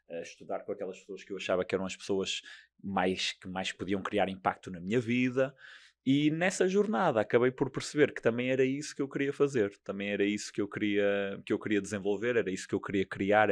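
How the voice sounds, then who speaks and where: A middle-aged man from Portugal with a European Portuguese accent, talking as a guest about his work as a coach, earnest and given to repeating phrases. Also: fairly low pitch. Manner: earnest, repeats phrases while searching for words. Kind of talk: interview conversation.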